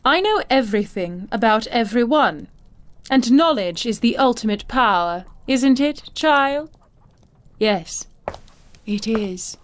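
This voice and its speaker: An actress playing an imperious, cruel older madame in a fantasy audio drama, haughty, silky and condescending. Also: female voice, on the high side, expressive, slow. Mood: silky menace.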